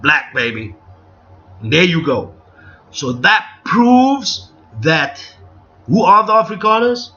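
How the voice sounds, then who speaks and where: Opinionated South African man, high bright voice. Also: lively intonation, slow, pauses often. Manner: opinionated, digressive, many restarts and asides. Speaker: livestream host. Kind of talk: unscripted live commentary to viewers. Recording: dull lo-fi stream with faint music.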